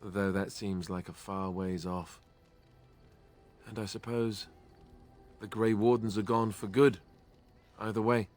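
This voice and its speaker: A man's voice: on the low side, steady tone, slow, pauses often, darkish tone, faint music behind. A man acting a self-deprecating young ex-Templar warrior in a fantasy video game, wry and earnest with a lightly theatrical delivery. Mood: earnest, reflective. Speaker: video game companion character. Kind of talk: scripted fantasy game dialogue.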